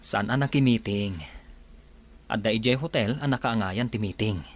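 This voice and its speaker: A man playing a distracted, lovestruck male boss in a radio drama, with a husky voice. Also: lowish voice, moderate pace, slight background noise, natural voice. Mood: dreamy, distracted. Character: distracted male boss smitten with a simple pretty woman.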